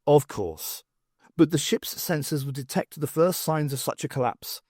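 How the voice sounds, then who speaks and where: A man voicing a worldly, brisk and wry woman archaeology lecturer in an audiobook. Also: a little high, darkish tone, no echo, clean studio sound, natural voice. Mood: brisk, instructive. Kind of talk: audiobook character acting.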